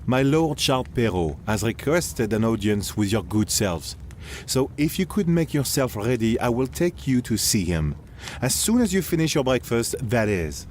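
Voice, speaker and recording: A man's voice: mid-range voice, big pitch swings, moderate pace, a little hiss or hum, lightly acted. A man playing a courteous 1700s Frenchman in an audio drama, speaking politely and formally. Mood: gracious.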